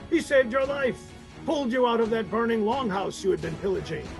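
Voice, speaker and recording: A man playing a theatrical Viking warrior in a comedic scripted radio ad, with a gravelly voice. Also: extremely high pitch, fairly quick, over music. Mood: mock-solemn, hearty.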